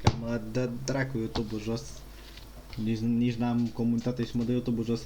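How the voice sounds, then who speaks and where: A Romanian-accented male gaming streamer with a mid-pitched voice, talking casually and swearing freely. He switches between languages, with faint noise and room ambience behind him. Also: monotone, brisk. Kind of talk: casual game commentary.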